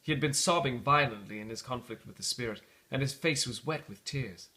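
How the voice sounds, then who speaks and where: A man reading a storybook aloud as dramatic narration, with theatrical, deliberate phrasing. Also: medium pitch, sharp tone. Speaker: storybook reader.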